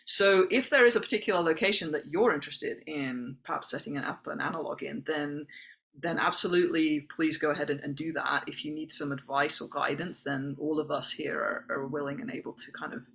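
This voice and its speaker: A woman's voice: deep voice, fairly quick, gravelly, fairly bright tone. A woman hosting an online information session, answering questions in an accommodating, explanatory way. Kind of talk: spoken Q&A answering chat questions. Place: online video call.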